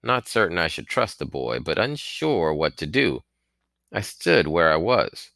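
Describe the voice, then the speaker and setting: A man's voice: deep voice, sing-song, unhurried, vocal fry, a bit bright, no echo, studio quality. A man reading a novel aloud as scripted audiobook narration, acting out its characters. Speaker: reader of a children's novel.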